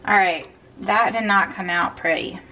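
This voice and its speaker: A woman's voice: medium pitch, normal speed, highs cut off, background noise. A woman in her thirties chatting through a cook-along in her home kitchen, often calling the viewers y'all. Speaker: cooking vlogger. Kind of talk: casual cook-along narration.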